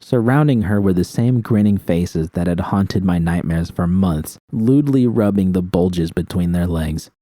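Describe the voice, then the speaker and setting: A man's voice: low-pitched, a little slow, hardly any pauses, mellow, no room sound. A man reading first-person fiction as an audiobook narrator, with dramatic storytelling delivery.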